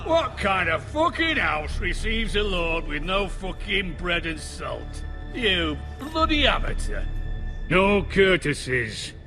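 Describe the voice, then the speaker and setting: An actor playing a belligerent, foul-mouthed older lord in a fantasy video game, blustering and contemptuous. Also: male voice, high voice, wildly swooping pitch, takes it slowly, music in the background, full character voice. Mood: furious. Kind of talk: dramatic video game acting.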